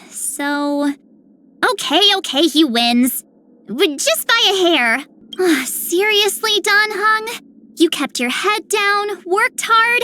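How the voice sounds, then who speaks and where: Very high-pitched, bubbly young girl. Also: bright, forceful tone, heavily acted. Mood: playful, excited. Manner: exuberant, chatty, playful. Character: bubbly, eager young girl game heroine. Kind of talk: video game character voice lines.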